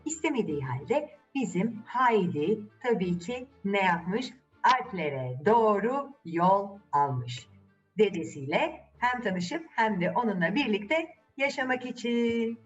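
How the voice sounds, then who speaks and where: Female children's storyteller, animated and chatty, slightly distant dull recording. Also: medium pitch, takes it slowly, faint music behind. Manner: chatty, addresses child listeners with questions. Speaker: storyteller and channel host. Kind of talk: lively children's fairy tale storytelling.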